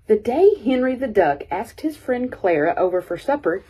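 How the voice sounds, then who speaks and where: Animated, higher-voiced woman reading a storybook. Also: normal speed, breathy edge. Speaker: storyteller reading a children's picture book. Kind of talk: expressive read-aloud story narration.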